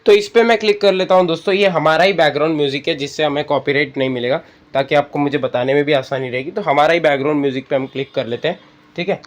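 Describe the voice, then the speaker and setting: Higher-pitched Indian man, tutorial host. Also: fast, a little hiss or hum. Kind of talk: casual step-by-step app tutorial.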